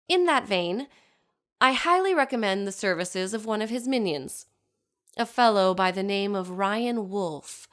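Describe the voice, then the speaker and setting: A woman performs a shrewd, self-possessed young lady of a powerful family in an audio drama monologue. Her voice sits at a middle pitch, and she speaks formally, placing each pointed phrase with cool care. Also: natural voice. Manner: formal, measured, eloquent diction with pointed emphasis. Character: poised, shrewd young bride from a spymaster family, coolly accusing. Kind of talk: scripted dramatic monologue addressed to her mother.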